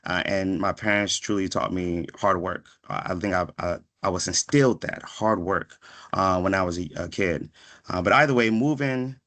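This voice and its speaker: A man's voice: deep voice, normal speed, a bit bright. A man in his thirties from the Washington, D.C. area, born in Ghana, giving a reflective, conversational motivational talk to students, often checking in with "right?". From born in Ghana, raised in Washington, D.C. area from age five. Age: 30s. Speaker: guest speaker, psychiatric pharmacist. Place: online video session.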